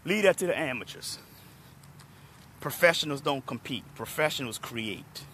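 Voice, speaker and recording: A middle-aged man from Washington, D.C., a motivational speaker, talking hoarsely and chattily to viewers on a phone held at arm's length outdoors. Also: on the high side, moderate pace, a little hiss or hum. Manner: relaxed, chatty, addresses viewers by name. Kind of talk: live-streamed talk to viewers. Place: outdoors in a parking lot under trees.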